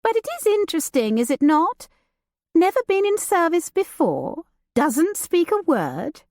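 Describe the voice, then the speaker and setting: A woman's voice: very high-pitched, sing-song, measured pace, a little mellow, no room sound, studio quality, pitched above the performer's own voice, exaggerated voice. A woman voicing a flighty, romantic young noblewoman with a lilting, gossipy manner. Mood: playful.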